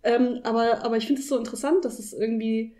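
Female podcast host, relaxed warm timbre, fairly high register, colloquial and chatty while analysing. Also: fast talker. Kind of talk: casual analytical podcast discussion.

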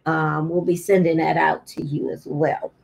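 A middle-aged American woman, an early childhood teacher, giving an informal video message to parents and toddlers in a deliberate, halting, sometimes singsong way. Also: sits low, level tone, gravelly, darkish tone. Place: small indoor room at home. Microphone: laptop webcam at arm's length.

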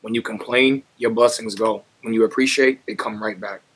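A young man with a husky voice talking casually in street slang and giving motivational advice over a video call. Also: fairly low pitch, steady tone, normal speed, a bit bright, poor audio. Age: young adult. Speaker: stranger on a video chat, motivational poster.

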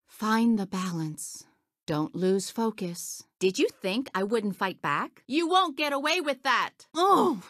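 A plucky young heroine of a video game, a warrior with a good heart. Her voice sits fairly high and swings widely in pitch as she delivers short, earnest lines. The sound is dry and close, with no room on it. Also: no room sound, full character voice. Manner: earnest, expressive, clearly enunciated short lines. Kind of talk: video game character voice clips.

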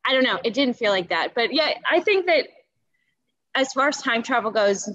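A woman's voice: on the high side, sing-song, moderate pace, a bit bright, highs cut off. A young woman in a casual book club discussion on a video call, hesitantly feeling for her words and often saying "you know.". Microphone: video call computer mic.

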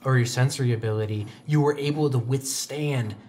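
Young male game master, expressive dramatic storyteller, music playing underneath. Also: mid-range voice, a little fast. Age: young adult. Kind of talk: dramatic tabletop roleplaying narration. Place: indoor studio set.

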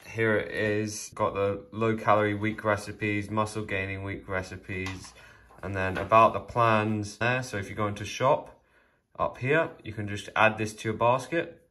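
A seventeen-year-old young man, chatting casually to a handheld phone camera about his day. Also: unhurried. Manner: relaxed, chatty, low-key. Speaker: vlogger, youth footballer. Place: gym, kitchen, bedroom and car. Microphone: phone held at arm's length.

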